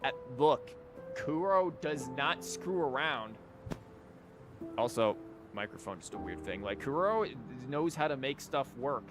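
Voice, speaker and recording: A man's voice: medium pitch, expressive, unhurried. A man giving casual playthrough commentary as a game streamer, with wry, joking, rambling asides. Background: game music.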